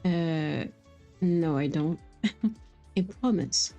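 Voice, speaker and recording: Mellow, lower-pitched woman streamer. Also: drawn-out words. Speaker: video game streamer. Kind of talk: casual livestream chat and game reactions. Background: faint game music.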